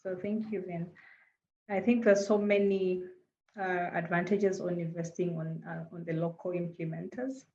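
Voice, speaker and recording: A woman's voice: fairly low pitch, fairly even intonation, normal speed, mellow, highs cut off, slight background noise. A woman from Tanzania with a Tanzanian accent, a native Swahili speaker, answering earnestly as a panelist and often repeating words as she searches for them. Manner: earnest, hesitant with frequent repeated words and fillers.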